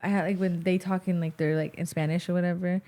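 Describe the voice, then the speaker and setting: A woman's voice: on the low side, little pitch movement, fast, dark tone. A young woman with a Mexican American accent, a podcast guest talking casually in a rambling way, full of "like". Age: young adult. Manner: rambling, heavy use of "like". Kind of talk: casual interview chat. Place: small studio set with wooden tables. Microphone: boom-mounted studio mic.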